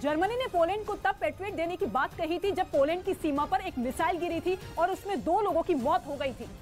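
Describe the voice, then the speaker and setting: A woman's voice: very high voice, fairly quick, a little mellow, faint music behind. A woman reading scripted news lines in a formal newsreader delivery. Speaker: news reader.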